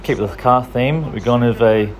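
A young man from a strongman gym, giving a relaxed, practical training tutorial in plain everyday words. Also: medium pitch, moderate pace, a little hiss or hum. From Australia. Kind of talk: casual training tutorial voice-over.

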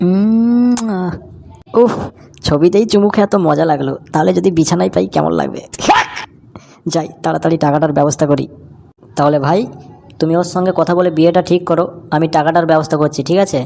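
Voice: medium pitch, a little fast, creaky, long pauses, warm tone, lightly acted. Cartoon grandpa who wants a young bride, cheeky and blustery, old man talk, acted out. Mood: eager lecherous. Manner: blustering, coarse, bashful when talking romance. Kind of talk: comic cartoon voice acting.

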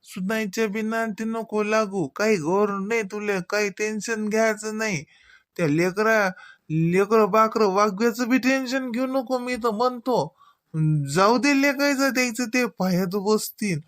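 Guy doing an elderly village dad in a cartoon, slow and homely, rural varhadi marathi accent, dry close recording. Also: high-pitched, a bit bright, no room sound. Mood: concerned, advising. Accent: Varhadi Marathi from Vidarbha. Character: elderly village father, slow, protective of his daughter. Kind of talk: comedy cartoon dialogue.

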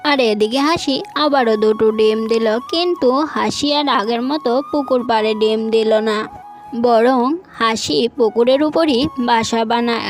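A woman narrating a scripted children's animal story, with music playing behind her. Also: fairly high pitch.

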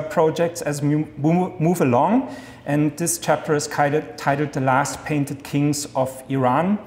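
A man in his thirties with a German accent gives an enthusiastic academic lecture from a podium, talking fast with many fillers and restarts. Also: on the high side, a little mellow. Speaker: guest lecturer. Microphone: podium mic. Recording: echoey lecture hall, long reverb.

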